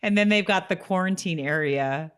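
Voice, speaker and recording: A woman with a low voice co-hosts a podcast. She talks casually and chattily, like someone recapping a story to a friend, and laughs readily. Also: normal speed, clear, resonant tone. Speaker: podcast co-host. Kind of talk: casual chat recapping a TV episode, some scripted summary read aloud.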